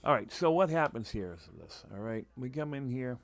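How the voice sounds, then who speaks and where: A man from New England hosting a casual, unscripted livestream, halting and repeating himself as he thinks aloud. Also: medium pitch, expressive, no room sound. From New England, United States. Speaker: livestream host, radio scanner hobbyist. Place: room at home. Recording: noticeable background noise, home livestream.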